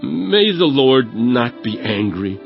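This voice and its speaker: An actor playing a very old, humble patriarch who pleads earnestly and reverently in a dramatized scripture. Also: male voice, mid-range voice, big pitch swings, creaky, dull audio, faint music behind, heavily acted. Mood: humble pleading. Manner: earnest, deferential, drawn-out pleading.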